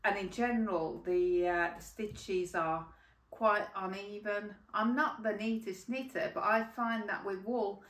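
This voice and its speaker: A woman's voice: medium pitch, steady tone, a little slow, fairly bright tone, far from the mic. A middle-aged woman from Finland, chatting casually as a podcast host at home, rambling with many fillers. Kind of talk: casual chat. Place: home room by a yarn cupboard. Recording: echoey room, voice set back from mic.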